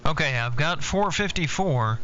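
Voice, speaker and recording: A man's voice: fairly high pitch, lively intonation, normal speed, faint music behind. A man running a CB radio check-in, calling stations in a procedural, repetitive way. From Arkansas, United States. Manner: procedural, repeats himself. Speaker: CB radio net controller. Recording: dull CB radio audio, loud hum.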